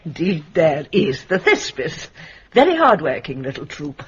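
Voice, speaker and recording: A woman's voice: low voice, sing-song, a little slow, slight background noise. A woman playing an imperious, eccentric old spinster in a radio drama, speaking in a grand, precise, commanding way. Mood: gracious, grand. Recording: dull lo-fi radio drama recording.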